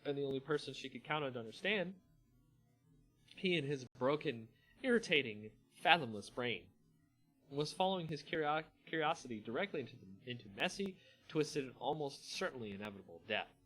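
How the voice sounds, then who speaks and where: A man's voice: mid-range voice, fairly bright tone, slightly rough, rough recording. A man hosting a livestream, moving between casual chat and dramatic story reading. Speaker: channel host and story reader. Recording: music playing behind the voice. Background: background music.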